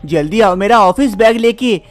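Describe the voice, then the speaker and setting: A woman voicing a young husband in a cartoon story, hurried and flustered as he talks to his wife and sister-in-law. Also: mid-range voice, expressive, soft background music, natural voice.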